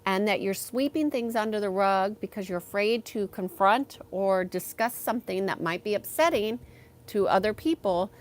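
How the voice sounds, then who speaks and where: A woman hosting a live stream from her backyard, talking slowly and softly, pausing with ums as she reads and answers chat comments. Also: medium pitch. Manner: slow, soft-spoken, with frequent ums.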